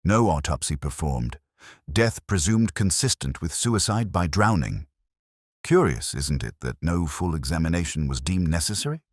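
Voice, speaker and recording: A man voicing a keen, coolly commanding Victorian detective in an audiobook, speaking precisely and deliberately. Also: sits low, lively intonation, no room sound, clean studio sound. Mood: analytical. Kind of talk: audiobook character dialogue.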